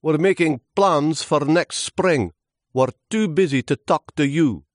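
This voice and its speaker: A man's voice: on the high side, measured pace, no echo, studio quality, pitched above the performer's own voice, lightly acted. A man voicing bossy, irritable twigs and branches in a children's story, snappish and dismissive. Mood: snappish, busy.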